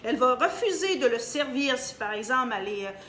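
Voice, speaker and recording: Woman, Canadian French accent, fairly high voice, emphatic opinion monologue with many hesitations, echoey room. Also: animated, a little fast, pushed and bright. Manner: emphatic, hesitant with many fillers, addresses viewers directly. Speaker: video commentator. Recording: echoey room, faint background hum.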